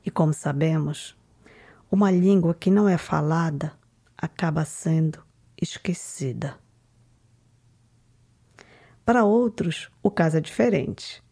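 Calm, low-voiced woman narrating. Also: takes it slowly, creaky, long pauses, husky. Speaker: documentary narrator and interviewer. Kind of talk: reflective scripted narration.

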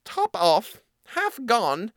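A man voicing a small, trusting female mouse in a fairy tale, squeaky and given to exclaiming and questioning. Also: wildly swooping pitch, extremely slow, fairly bright tone, no room sound, studio quality, exaggerated voice. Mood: puzzled, worried.

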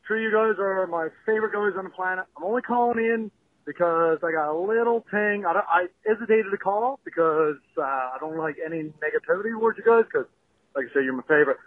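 A man's voice: high voice, quick pace, no echo. A man from Newfoundland with a thick Newfoundland accent, calling in to a podcast, friendly and rambling with lots of fillers. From Newfoundland, Canada. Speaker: caller. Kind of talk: voicemail call-in. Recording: tinny phone line.

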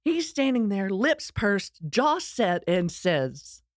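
A woman's voice: mid-range voice, big pitch swings, slow pace, no echo, studio quality. A middle-aged woman with a Texan accent telling her personal story in a candid, earnest way, with touches of self-deprecating humor. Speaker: speaker sharing her life story.

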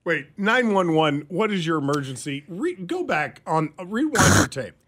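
A man's voice: a little high, sing-song, moderate pace, a bit bright. A man co-hosting a radio show who banters playfully and keeps stopping his partner's reports in disbelief. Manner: playful, incredulous, stops the reading to question it. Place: radio studio. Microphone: studio microphone.

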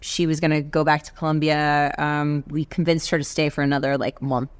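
A woman's voice: low-pitched, level tone, a little fast, crowd noise. A woman in her thirties whose first language was Spanish, speaking earnestly and reflectively as a guest in a casual conversation. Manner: earnest, reflective, many fillers.

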